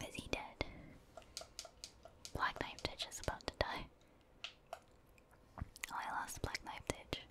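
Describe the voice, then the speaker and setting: A woman's voice: takes it slowly, pauses often. Girl whispering asmr gamer commentary, all breath no voice, chatty little reactions while she plays. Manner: chatty, reacting to play in short murmured asides. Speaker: gaming streamer and ASMR host.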